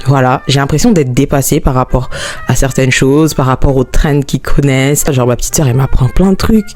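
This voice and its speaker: A woman, chatting casually to camera in her own vlog, expressive and chatty, jumping up high when excited. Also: a little fast, faint music behind. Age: 20s. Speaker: YouTuber.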